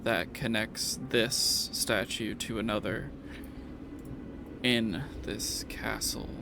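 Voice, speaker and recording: A man runs a tabletop game in a casual, conversational way. His voice has a lot of fry, and the recording is clearly noisy. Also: lowish voice, steady tone, slow pace. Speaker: game master.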